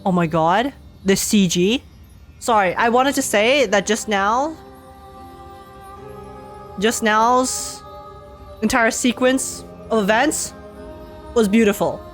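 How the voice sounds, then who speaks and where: High-voiced, gushing woman streamer. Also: slow, long pauses. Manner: gushing, excitable. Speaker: streamer reacting to a game. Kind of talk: casual live game reaction commentary. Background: faint game music.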